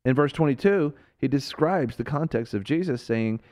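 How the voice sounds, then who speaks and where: Fervent preaching man, lively pitch. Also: moderate pace. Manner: fervent, emphatic, swings between loud and hushed. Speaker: radio show host and preacher.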